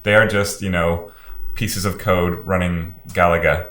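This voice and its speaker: Lowish-voiced man in an echoey room. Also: fairly even intonation, unhurried, fairly bright tone. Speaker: podcast co-host. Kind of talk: casual film discussion. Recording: roomy, echoing indoor sound.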